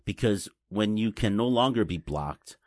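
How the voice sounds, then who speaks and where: Deep-voiced man explaining things haltingly. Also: animated, a little mellow, no echo, studio quality. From Taiwan. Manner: halting, repeats words, explains simply. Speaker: guest, blockchain company representative. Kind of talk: casual interview chat.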